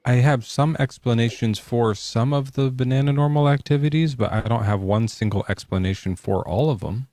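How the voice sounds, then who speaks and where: A man's voice: medium pitch, a little fast, few pauses, mellow, dry recording, studio quality. A male podcast host talking casually from his garage in a jokey, loose way, with plenty of swearing. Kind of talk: casual debate chat. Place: home garage.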